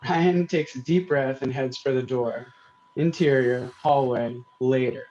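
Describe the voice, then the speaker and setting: A man's voice: medium pitch, fairly even intonation, unhurried, darkish tone. A man reading stage directions as scripted narration for a comedy table read. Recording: slightly dull, lo-fi sound.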